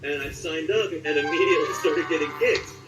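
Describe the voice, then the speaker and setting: A man's voice: a little high, fairly quick, over music. A man in his late forties speaking as a voice actor being interviewed, telling stories in a conversational way. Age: late 40s. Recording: roomy echo, distant mic, clear background noise.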